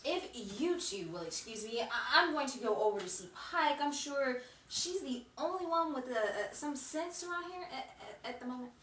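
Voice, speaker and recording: A woman's voice: sits high, lively intonation, moderate pace, few pauses, fairly bright tone. A woman acting a sceptical, dry-witted colleague in a 1950s-style radio comedy. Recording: echoey room, distant mic, clear noise.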